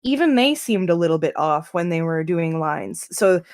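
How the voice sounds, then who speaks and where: A woman's voice: fairly low pitch, lively intonation, moderate pace, a little mellow. A woman talking casually on a group podcast, giving her opinions in an emphatic way. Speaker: podcast panel member, fan dub organiser.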